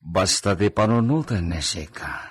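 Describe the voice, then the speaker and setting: A man playing a domineering, lecherous husband and lord in a radio drama, speaking deliberately with Spanish phrases mixed in and a husky voice. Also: low voice, lively intonation, fairly bright tone, full character voice. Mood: cold, commanding. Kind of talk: Ilocano radio drama acting.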